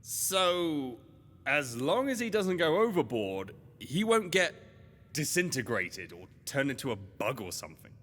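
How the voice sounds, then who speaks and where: A man's voice: mid-range voice, big pitch swings, a little slow, a bit bright. A man playing a loyal, slightly anxious young adventurer's sidekick in an audio drama, earnest and questioning. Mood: puzzled, curious. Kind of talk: scripted audio drama dialogue. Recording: long reverb, music behind.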